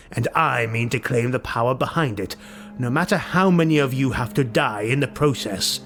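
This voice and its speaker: A man voicing a proud, suspicious highborn lord in an audiobook, curt and commanding. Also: natural voice.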